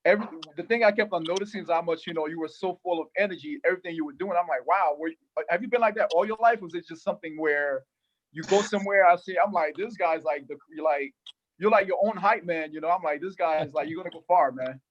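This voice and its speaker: Brief: quick, slightly high, excitable male interviewer, lo-fi call audio. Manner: upbeat, rapid and enthusiastic.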